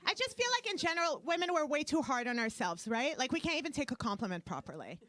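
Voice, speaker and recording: A brash woman comic from Canada, with a Brooklyn-sounding accent and a fairly high voice. She rattles off self-mocking punchlines into a handheld mic on a club stage. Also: big pitch swings, fast, fairly bright tone, a little hiss or hum. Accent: Brooklyn-sounding English. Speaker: stand-up comedian. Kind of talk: stand-up comedy set. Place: club stage before a live audience.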